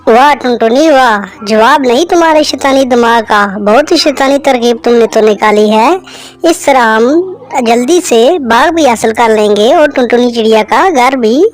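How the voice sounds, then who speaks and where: A woman's voice: a little high, moderate pace, close to an everyday voice. A woman voicing a wicked, gloating male crow in a cartoon moral story.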